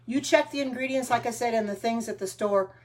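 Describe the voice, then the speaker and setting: A woman's voice: a little high, a little fast. An older woman chatting casually on a live stream while baking, greeting viewers by name and wandering from topic to topic with homespun sayings. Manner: frequent ums and restarts, homespun sayings. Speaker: home cooking livestreamer.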